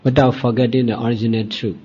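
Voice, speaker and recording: A male Buddhist teacher gives guided meditation instruction in short, deliberate phrases with long pauses. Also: medium pitch, flat delivery, mellow, husky. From Myanmar. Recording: dull lo-fi recording.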